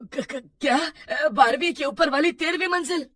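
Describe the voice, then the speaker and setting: Woman putting on a high, jittery night guard. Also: normal speed, a bit bright, no room sound, studio quality. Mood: alarmed. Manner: nervous, startled, stammering when alarmed. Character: jumpy, sleepy male apartment night guard, evasive and fearful. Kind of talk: animated horror story dialogue.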